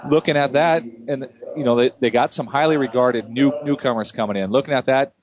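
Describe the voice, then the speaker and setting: Measured male hockey analyst. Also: mid-range voice. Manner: measured, hedging, with restarts. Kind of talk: hockey radio broadcast analysis.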